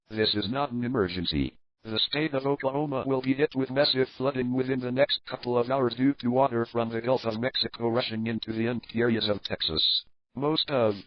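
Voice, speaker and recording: A man's voice: medium pitch, no echo. Guy announcer reading a community alert script, dry muffled lo-fi mic. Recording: dry, dull muffled audio.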